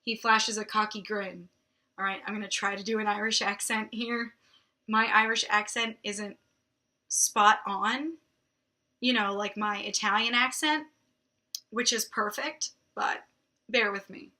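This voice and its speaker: A young woman reads a romance novel aloud, pausing for dry, mocking asides. Her voice is strikingly bright and forceful. She takes her time and lets each line land slowly. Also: medium pitch, fairly even intonation. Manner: wry, drily mocking asides. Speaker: book reviewer host. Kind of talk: reading aloud with sarcastic commentary.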